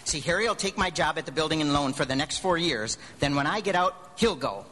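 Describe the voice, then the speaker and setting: A restless, ambitious young man on live radio drama. The voice is fairly high and very lively, with an eager stammer. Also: a little fast, poor audio.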